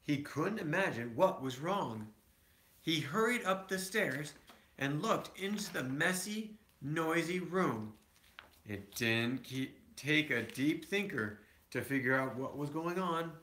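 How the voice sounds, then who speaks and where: A man's voice: fairly high pitch, lively intonation, slow pace, pushed and bright, a little hiss or hum. A man reading a picture book aloud to his children, with conversational asides. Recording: echoey room.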